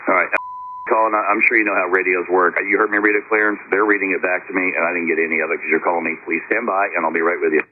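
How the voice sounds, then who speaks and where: A man's voice: low voice, level tone, quick pace. A man working as an air traffic ground controller, speaking in clipped phraseology over aviation radio. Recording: noisy, narrow, clicking aviation radio transmission.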